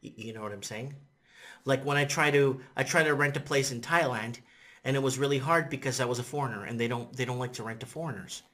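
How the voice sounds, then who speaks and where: A man in his thirties whose first language is Portuguese, talking casually to a webcam in a rambling, animated way with lots of repetition and "you know what I'm saying?". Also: medium pitch, fast. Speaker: vlogger. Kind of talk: casual opinion vlog to camera. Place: indoors in front of a green-screen city backdrop.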